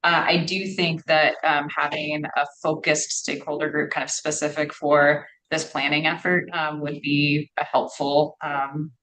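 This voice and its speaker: A woman with a low voice presents to a port board of commissioners. She speaks in a measured, careful way, padding her sentences with ums. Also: little pitch movement, fairly bright tone, poor audio. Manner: measured, frequent ums and hedging fillers. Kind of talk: public meeting presentation.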